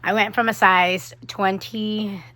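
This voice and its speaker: Bright-voiced chatty woman, sick with a cold. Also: medium pitch. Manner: chatty, animated, quick asides. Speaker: vlogger. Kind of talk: casual chat to camera.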